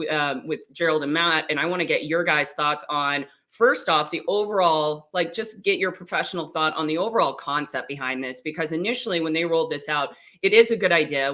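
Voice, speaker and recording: A man's voice: fairly high pitch, bright, forceful tone. A man hosting a live panel stream, asking long conversational questions over a video call. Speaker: stream host.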